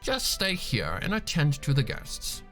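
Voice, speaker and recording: A man's voice: fairly high pitch, huge pitch swings, unhurried, a bit bright, faint music behind, voice pushed up, heavily acted. A man voicing a male magical creature who hosts and gives orders politely in a story. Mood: commanding, courteous. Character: commanding, courteous male magical clawed host creature.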